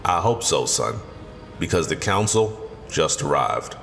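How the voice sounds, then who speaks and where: An actor playing a wise, stern older garage owner and community leader in an audio drama, plain-spoken and authoritative. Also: male voice, very deep voice, big pitch swings, unhurried, creaky, fairly bright tone, exaggerated voice. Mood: fatherly, knowing. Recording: long reverb, music underneath.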